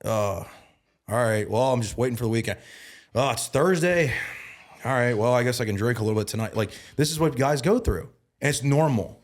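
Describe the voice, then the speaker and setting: A man in his thirties talking as a guest on a casual podcast. He sounds earnest, repeats himself and restarts a lot, and grows emphatic and louder when he starts preaching. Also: mid-range voice, animated, a little fast. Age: 30s. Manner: repeats words and restarts often. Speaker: guest, bodybuilding and mindset coach.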